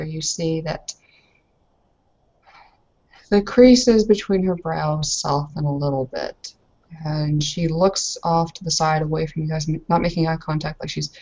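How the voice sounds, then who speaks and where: A woman player narrating her character in a tabletop roleplay, descriptive and hesitant, with pauses as she goes. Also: sits low, a little mellow. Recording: slightly dull, lo-fi audio.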